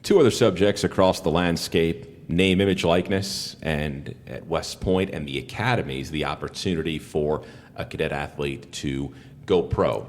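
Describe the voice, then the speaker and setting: An American man, a sports broadcaster, putting prepared interview questions to a guest. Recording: reverberant room with faint rumbling noise.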